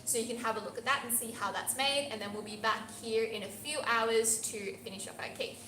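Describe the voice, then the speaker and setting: An Australian woman with a bright, fairly high voice walks viewers through a recipe in a chatty, encouraging way. She is heard in an echoey teaching kitchen with a faint hum behind her. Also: fairly even intonation, fairly quick. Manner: chatty, encouraging, talks while working with her hands. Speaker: pastry instructor. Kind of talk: live cooking demonstration. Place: teaching kitchen at a pastry academy.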